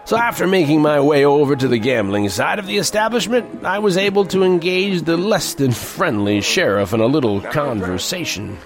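A man's voice: fairly high pitch, lively intonation, normal speed, hardly any pauses. An actor playing a world-weary newspaper reporter who narrates his western tale in a wry first person. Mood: wry, reflective. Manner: wry, hard-boiled storytelling. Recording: music behind the voice.

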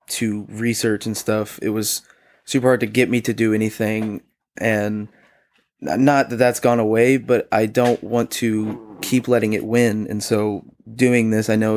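A young man with a lowish voice talks on a casual podcast. He speaks softly and haltingly, often trailing off and restarting his thoughts. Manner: hesitant, halting, soft-spoken. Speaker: returning podcast guest.

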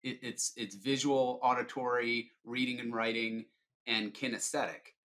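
Bright-voiced man explaining things conversationally. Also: medium pitch, steady tone, measured pace. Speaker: sales leader, podcast guest. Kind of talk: conversational interview answers.

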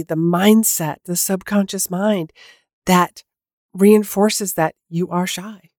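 A woman's voice: mid-range voice, a little mellow, dry recording, studio quality. Nasal, stuffy-voiced woman coaching listeners. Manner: casual, encouraging, many filler "like"s. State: nasal from a head cold. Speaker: podcast host and coach. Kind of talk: solo podcast monologue.

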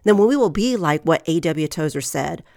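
A woman hosts a faith podcast in an earnest, encouraging way, her voice nasal from a lingering head cold. There is a gravelly rasp under her somewhat low voice. The recording is close and dry, with no room sound. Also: expressive, normal speed, a little mellow, no room sound. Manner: earnest, encouraging, reads scripture aloud. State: getting over a head cold. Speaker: podcast host.

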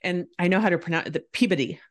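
A woman hosting an interview, upbeat and quick to laugh and agree in casual chat. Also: lowish voice. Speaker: podcast host.

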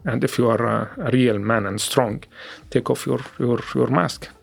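A man with a Syrian Arabic accent, a native Arabic speaker, giving testimony in an interview in halting second-language English with frequent restarts. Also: medium pitch, creaky, breathy edge. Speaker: interviewee, former activist.

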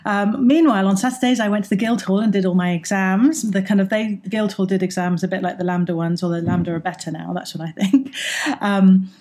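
A woman's voice: medium pitch, fairly even intonation, a little fast, mellow. Chatty, enthusiastic woman teacher. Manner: enthusiastic, chatty, with frequent ums and laughs. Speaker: drama teacher. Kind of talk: interview guest, recounting her background.

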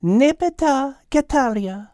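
A man voicing a calm, matter-of-fact, elegant female cat. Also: sits high, takes it slowly, dry recording, studio quality, pitched above the performer's own voice. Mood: calm, firm.

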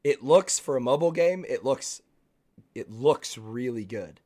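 A man co-hosting a casual gaming podcast, with a hoarse, raspy voice and an animated way of jumping in. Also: mid-range voice, a little slow. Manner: animated, interrupts often. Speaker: podcast co-host.

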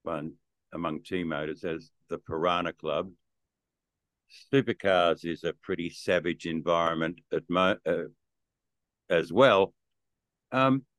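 A male interviewer with a lowish voice puts questions in a measured way. The recording is dry and close. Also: fairly bright tone, dry recording. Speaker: podcast host and journalist.

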